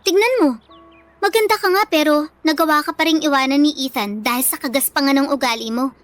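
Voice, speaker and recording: A woman's voice: sits high, fairly quick, dry recording, faint music behind, natural voice. A woman playing a meek but steadfast bullied teenage schoolgirl in a radio drama, pleading and earnest, with a Filipino accent. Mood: earnest, firm.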